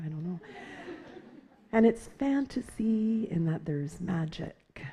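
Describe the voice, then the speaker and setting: A woman poet speaking softly and with measured phrasing, introducing her work and reading her poems on a theatre stage. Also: low voice, husky. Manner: soft-spoken, measured. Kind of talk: poetry reading at a literary festival.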